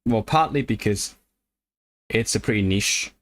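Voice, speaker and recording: Low-voiced man chatting casually. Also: expressive, a little slow, no room sound. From Hong Kong. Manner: rambling, with fillers and self-corrections. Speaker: language YouTuber on a livestream. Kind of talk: casual livestream chat answering viewers.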